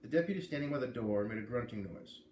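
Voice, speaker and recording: A man doing an expressive storybook read-aloud, pausing to explain and comment as he goes. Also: medium pitch. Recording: echoey room with clear background noise.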